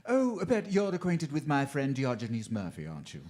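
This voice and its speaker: A man's voice: medium pitch, huge pitch swings, brisk, a little mellow, slight background noise. A man playing a genteel, effusive photographer friend, affectedly refined, in a live radio drama. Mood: effusive, courtly.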